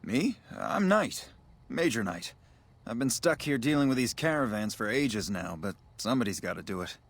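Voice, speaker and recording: A man's voice: medium pitch, sing-song, moderate pace. A man voicing a weary, matter-of-fact army major stationed at a desert border outpost in a video game. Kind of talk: video game dialogue acting.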